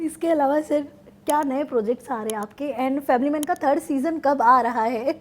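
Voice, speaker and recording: A woman with a high-pitched voice works as an interviewer. She has an Indian accent and puts her questions in a casual, conversational entertainment style. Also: normal speed, darkish tone.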